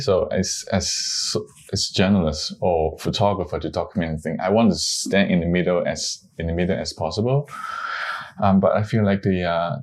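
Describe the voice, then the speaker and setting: Halting, low-voiced Hong Kong man. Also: darkish tone. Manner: halting, searching for words, many restarts. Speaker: photojournalist. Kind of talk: interview, personal reflection.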